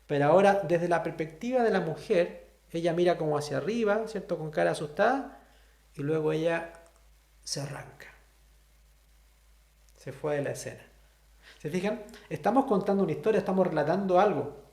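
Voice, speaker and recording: A man's voice: a little high, animated, moderate pace, creaky, long pauses, darkish tone, echoey room. A man with a Chilean accent teaching a sign language class, explaining step by step and checking in with students. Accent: Chilean Spanish. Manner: explanatory, asks the class frequent questions. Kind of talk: online class lecture.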